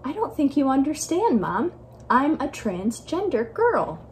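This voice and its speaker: A woman's voice: sits high, big pitch swings, measured pace, darkish tone, a little hiss or hum. A woman reading aloud as an earnest, frustrated young girl in a picture book, plaintive and insistent. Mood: earnest, downcast.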